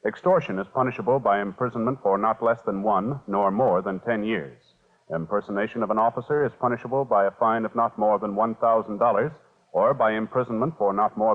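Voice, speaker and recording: Formal low-voiced American radio announcer. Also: male voice. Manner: measured, official. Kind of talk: formal 1950s radio scripted narration. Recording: muffled old radio recording, faint music.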